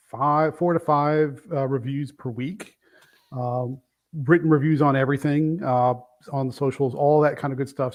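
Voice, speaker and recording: A man's voice: a little high, darkish tone. A man in his fifties from Brooklyn, a guest reviewer chatting casually, rambling and correcting himself as he goes. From Brooklyn, New York. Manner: rambling, self-correcting, enthusiastic. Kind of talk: casual chat.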